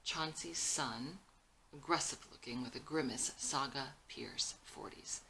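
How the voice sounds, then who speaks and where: A woman with a low, crisp-edged, bright voice narrates a film script. She reads out scene headings and action lines in a scripted style. There is faint noise behind her. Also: a little slow. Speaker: screenwriter reading own script.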